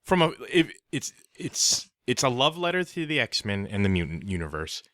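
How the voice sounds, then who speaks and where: A man's voice: medium pitch, sing-song, normal speed, fairly bright tone, dry recording, studio quality. A man co-hosting a casual book club podcast, giving his opinions in a conversational way. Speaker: podcast co-host.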